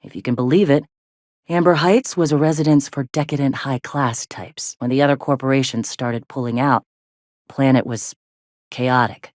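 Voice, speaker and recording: An actress playing a weary, plain-spoken rebel lieutenant turned leader in a video game, with a blunt, folksy, colloquial manner. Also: female voice, extremely low pitch, steady tone, normal speed, darkish tone, no room sound, studio quality. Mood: serious, explanatory. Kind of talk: scripted game dialogue.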